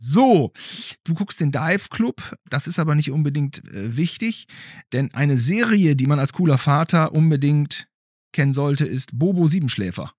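Male podcast host, lively swooping pitch, husky edge, playful, conversational, questioning asides. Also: normal speed, no echo, studio quality. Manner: playful, hesitant phrasing with rhetorical tag questions. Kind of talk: casual conversational podcast interview.

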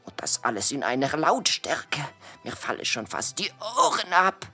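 Gérard, a theatrical French butterfly waiter. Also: female voice, low-pitched, sing-song, normal speed, bright, forceful tone, husky, faint music behind, full character voice. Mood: upset, plaintive. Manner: theatrical, polite, plaintive. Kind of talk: children's audio play acting.

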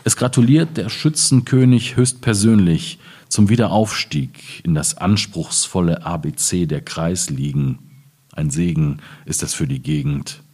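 A man speaking as a poet at a literary reading, in measured, clearly phrased German delivery. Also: low voice, a little mellow. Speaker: poet reading his own work. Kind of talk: literary reading of poems with informal introduction.

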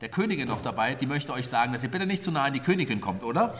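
A man's voice: medium pitch, animated, racing, fairly bright tone. A man speaking as an encouraging party host in a children's radio play, announcing things to the group. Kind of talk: lively master-of-ceremonies announcing. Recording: echoey, noisy room with crowd.

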